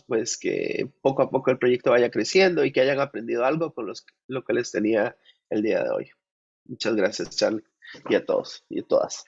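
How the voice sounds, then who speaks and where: A man's voice: mid-range voice, vocal fry, breathy edge. A man, sick with a cold, answering questions thoughtfully at an art conference, with frequent restarts. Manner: reflective, with many restarts. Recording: muffled, lo-fi audio.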